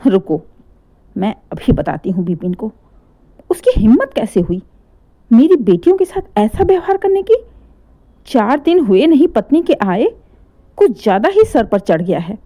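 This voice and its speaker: A woman voicing an angry middle-aged mother who scolds and shouts at her son. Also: a little high, big pitch swings, unhurried, long pauses. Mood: furious.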